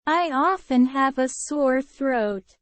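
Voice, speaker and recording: A woman reading English phrases in a measured way for learners to repeat. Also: high voice, no echo. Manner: measured, clear drilling. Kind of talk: slow repeated phrase drills.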